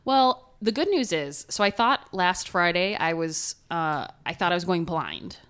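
Nasal-voiced woman, chatty and low-pitched. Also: expressive, measured pace, fairly bright tone, clear, resonant tone. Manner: chatty storyteller, laughs often. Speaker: podcast co-host. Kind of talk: casual chat.

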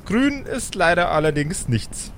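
A man's voice: sits high, big pitch swings, measured pace, fairly bright tone, a little hiss or hum. A man narrating an improvised tabletop fantasy game as its game master.

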